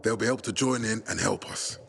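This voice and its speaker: A stern, hot-tempered military commander giving curt orders in a fairly low voice. Clear noise sits behind him in the game audio. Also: male voice, a little fast, fairly bright tone. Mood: commanding.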